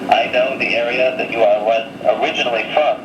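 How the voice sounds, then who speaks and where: A man's voice: medium pitch, fairly even intonation, fairly quick, lo-fi sound. Male radio host on noisy, echoey shortwave. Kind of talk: reading a listener's letter on air.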